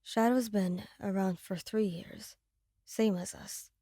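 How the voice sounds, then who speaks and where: An earnest young male mafia peacemaker, acted by a woman, speaks calmly but with intensity. The voice is mellow and slow, recorded dry for an audio roleplay. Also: medium pitch, no echo. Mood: calm, placating. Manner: calm but intense, pleading.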